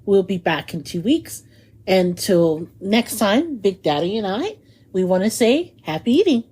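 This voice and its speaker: A woman's voice: medium pitch, sing-song, unhurried, warm tone, husky. A woman co-hosting a food podcast in casual chat, bubbly and chatty, laughing often. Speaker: podcast co-host.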